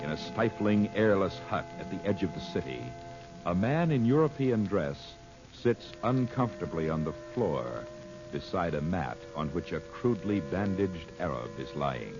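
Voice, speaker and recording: A man's voice: low-pitched, very animated, normal speed. Vintage radio announcer, male, formal and dramatic scripted read, emphatic, muffled old broadcast sound with music.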